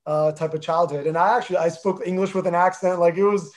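A man with a New Jersey accent, who spoke Armenian first, talking earnestly as an interview guest and pausing often with fillers. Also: high voice, steady tone, darkish tone. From New Jersey, USA. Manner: earnest, thoughtful, with many fillers and restarts. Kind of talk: casual interview talk.

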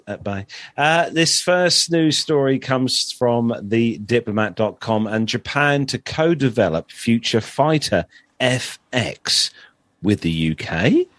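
A man hosts a news podcast in a low, slightly raspy voice. The sound is dry, and he moves between informal chat and somewhat halting read-aloud copy. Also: no room sound. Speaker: podcast co-host. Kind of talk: chatty aviation news show, partly reading news aloud.

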